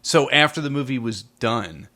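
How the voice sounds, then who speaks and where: A middle-aged man hosting a casual film podcast, explaining things and gently prompting his young co-host. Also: mid-range voice, lively intonation, moderate pace. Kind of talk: casual movie discussion with a child.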